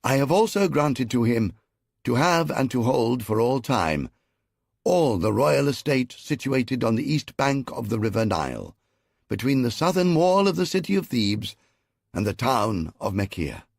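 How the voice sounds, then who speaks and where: A man voicing an ancient Egyptian pharaoh who makes grand, ceremonious proclamations in a strong, clear, carrying voice. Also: medium pitch, very animated, unhurried, no room sound, studio quality, pitched above the performer's own voice, exaggerated voice. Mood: regal. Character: commanding male Egyptian pharaoh, regal ruler.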